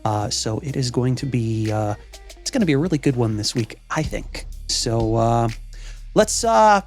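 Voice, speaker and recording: A man with a medium-pitched voice hosts a reaction show in a loose, rambling style. He talks informally and swears freely. Faint music plays underneath. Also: lively intonation, measured pace, darkish tone. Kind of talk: casual show intro and chat.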